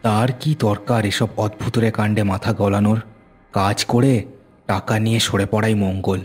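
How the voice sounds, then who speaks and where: A man narrating a horror story dramatically, with suspenseful, deliberate phrasing. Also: lowish voice, fairly even intonation, slightly rough. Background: music.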